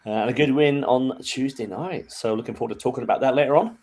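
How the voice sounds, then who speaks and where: A man co-hosting a casual chat, sounding cheerful and brisk. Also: mid-range voice, darkish tone. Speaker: podcast co-host.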